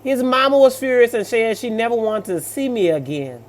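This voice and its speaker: A woman's voice: on the high side, big pitch swings, moderate pace. Woman, middle-aged, casual story commentary, sassy, motherly, colloquial. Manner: motherly, sassy, colloquial, addressing listener as baby. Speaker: host of a story-reaction show. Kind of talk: casual reaction commentary, reading stories aloud.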